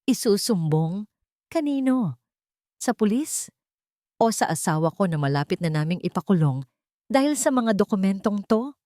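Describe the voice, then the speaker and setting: A woman's voice: medium pitch, very animated, moderate pace, long pauses, dark tone, no echo, clean studio sound, pitched above the performer's own voice, close to an everyday voice. A woman voicing a bitter, scheming rich matriarch in an audio drama, commanding and threatening. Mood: mocking. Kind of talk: Filipino audio drama acting.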